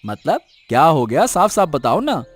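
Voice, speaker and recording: A man plays a curious villager in a cartoon tale. His high voice leaps about as he questions everything in disbelief, with music in the background. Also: moderate pace, breathy edge, no echo. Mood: puzzled, incredulous. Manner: questioning, animated. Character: curious, incredulous village man, eager to investigate. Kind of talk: scripted cartoon story acting.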